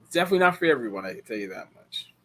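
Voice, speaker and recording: A man's voice: mid-range voice, big pitch swings, rapid-fire, sharp tone, a little hiss or hum. A man in his thirties from the United States, chatting casually with viewers and answering their questions, rambling with many fillers and restarts. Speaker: car rental host answering viewer questions. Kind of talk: casual live chat.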